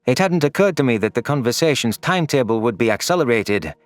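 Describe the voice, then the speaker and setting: A man's voice: mid-range voice, animated, fast, no echo. A man narrating a scripted science fiction story in the first person as an anguished, guilt-ridden alien. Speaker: narrator of a sci-fi audio story.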